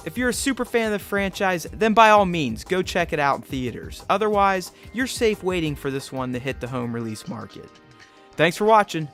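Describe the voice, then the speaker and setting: A man's voice: sits high, sing-song, normal speed, a bit bright. A man hosting a film review, reading scripted narration. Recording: music bed behind voice. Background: background music.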